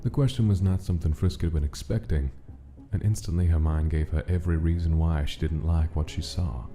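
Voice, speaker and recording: A man's voice: very deep voice, a little fast, mellow. A man giving dramatic scripted narration for a comic story. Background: music.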